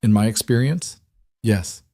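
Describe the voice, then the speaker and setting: A guarded young woman, as a male narrator plays her, speaks in a close, dry sound. She is soft-spoken and hesitant, a quiet maid in a romance audiobook who keeps her past to herself. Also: fairly low pitch, a little slow, dry recording. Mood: guarded, wistful.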